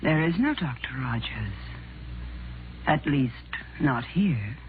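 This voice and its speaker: A mysterious, detached nurse in a vintage radio horror play. She speaks slowly and cryptically, with a lilting range. The old recording is muffled. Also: a bass voice, very animated, slight background noise, heavily acted. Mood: eerily detached. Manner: cryptic, unhurried. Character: enigmatic, detached female nurse in eerie hospital. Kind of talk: 1940s radio horror acting.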